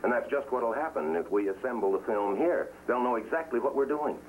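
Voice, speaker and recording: A man's voice: medium pitch, animated, fairly quick, a little mellow, lightly acted, poor audio. An actor playing an earnest, guarded undercover man in a 1950s TV drama, clipped and serious in his delivery. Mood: firm. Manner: clipped, serious, matter-of-fact. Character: guarded, earnest man working undercover inside a communist cell. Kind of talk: 1950s TV drama acting with terse voice-over. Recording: old 1950s TV soundtrack, some noise.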